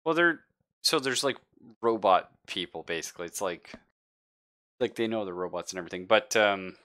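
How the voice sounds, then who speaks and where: A man's voice: on the low side, lively intonation, normal speed, bright, forceful tone. A man running a tabletop game as game master, chatting casually with the players.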